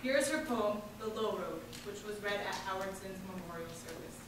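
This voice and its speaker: A woman's voice: medium pitch, normal speed. A woman reading a scripted introduction as an event presenter, far from the microphone in an echoey hall. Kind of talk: scripted introduction read aloud. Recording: very echoey distant hall, clear noise.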